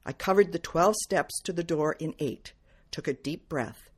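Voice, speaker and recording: A woman reading first-person detective fiction aloud as its author, in measured, clearly phrased narration. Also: deep voice. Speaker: author reading from own novel.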